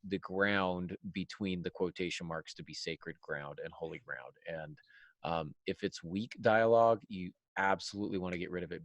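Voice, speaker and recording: Low-voiced male podcast host, close dry sound, emphatic, wryly funny, halting conversational delivery. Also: dry recording. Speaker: podcast host, writer and writing teacher. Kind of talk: conversational craft discussion.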